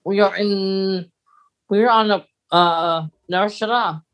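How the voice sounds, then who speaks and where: Woman, medium pitch, podcast co-host, casual conversational review talk. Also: steady tone, slow. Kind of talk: casual review chat about a radio drama.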